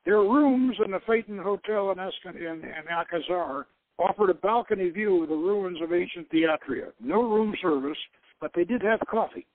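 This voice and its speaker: A man's voice: sits high, lively intonation, normal speed, dry recording, narrow, tinny audio. A man reading a novel aloud in a dramatic narrating style, sometimes stumbling and repeating a phrase. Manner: stumbles over words and rereads phrases. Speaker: host reading his own novel aloud.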